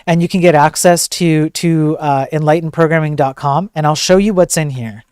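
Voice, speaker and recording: Soft-voiced man with a higher pitch, livestreaming. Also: normal speed, clear, resonant tone, no echo, studio quality. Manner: informal, joking, rambling banter. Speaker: livestream host, programmer. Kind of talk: casual livestream chat with viewers.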